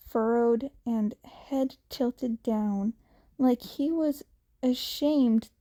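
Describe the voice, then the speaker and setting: A woman reading fan fiction aloud as an expressive narrator, with halting, deliberate pauses. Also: on the high side. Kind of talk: expressive scripted story narration.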